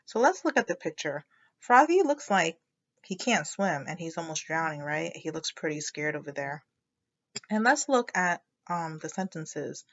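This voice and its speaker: Bright, expressive woman teacher reading aloud. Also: sits low, normal speed, highs cut off. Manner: expressive, explanatory, checking understanding. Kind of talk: children's read-aloud with questions to listeners.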